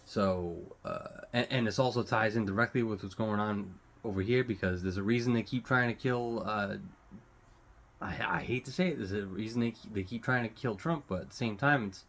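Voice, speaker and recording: Male online commentator, lower register, unscripted and rambling, loose casual language with swearing, often hunting for the next word. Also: fairly bright tone. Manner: halting, rambling, searching for words. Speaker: host of his own online show.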